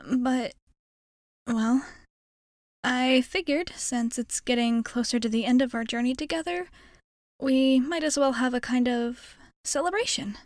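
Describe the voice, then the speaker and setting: A woman's voice: sits high, unhurried, no echo, clean studio sound. A woman playing a shy, nervously hopeful young alien space traveler in an ASMR roleplay, rambling hesitantly and putting herself down. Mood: flustered, welcoming. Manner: hesitant, rambling, self-effacing. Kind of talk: ASMR roleplay, intimate character monologue.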